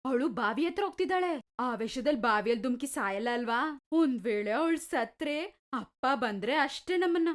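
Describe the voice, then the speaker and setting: Woman doing a spoiled cartoon daughter, high whiny voice, demanding. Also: expressive, normal speed. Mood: worried.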